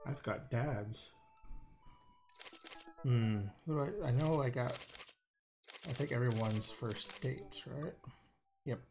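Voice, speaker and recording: A man's voice: medium pitch, slow pace, long pauses. Man streaming a game, music faintly behind. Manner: informal, hesitant, stumbling over lines. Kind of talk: casual livestream let's play, reading game dialogue aloud.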